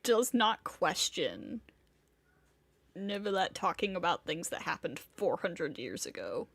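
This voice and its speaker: A woman's voice: fairly low pitch, big pitch swings, slow pace, creaky, a bit bright, slightly rough. A woman streaming a game, giving casual reactions and commentary in a gushing, teasing way.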